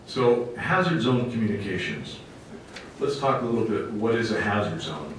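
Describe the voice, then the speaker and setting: A man with a fairly low voice gives a public presentation as a fire officer. His delivery is emphatic and plainspoken, with a folksy turn. He is recorded at a distance in a very echoey meeting room. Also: lively intonation, normal speed. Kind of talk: public presentation to a council committee. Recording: very echoey room, distant mic, faint rumbling noise.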